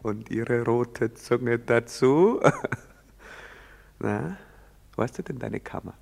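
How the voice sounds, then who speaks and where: An actor playing a lecherous, mocking young soldier in a German stage drama, jeering and declaiming with a swagger. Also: male voice, mid-range voice, expressive, takes it slowly, vocal fry, a little mellow, exaggerated voice. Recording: echoey theatre-style hall reverb.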